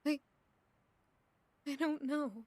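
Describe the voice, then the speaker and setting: A woman's voice: high-pitched, fairly even intonation, drawn-out words, a little mellow, no room sound. A woman playing an earnest, wounded young noblewoman with an emotive delivery in an audio drama. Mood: despairing.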